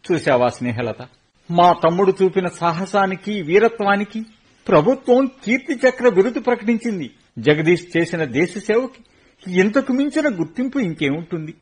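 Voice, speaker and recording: An actor in a radio play voicing an older soldier, the proud and grieving elder brother of a fallen hero, speaking in a solemn, formal way. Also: male voice, high-pitched, animated, moderate pace, husky.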